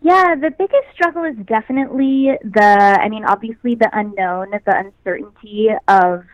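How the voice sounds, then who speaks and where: A young adult woman with a high voice, an actress chatting as a guest in an interview, upbeat and quick to laugh. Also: no echo, muffled recording. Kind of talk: casual interview chat.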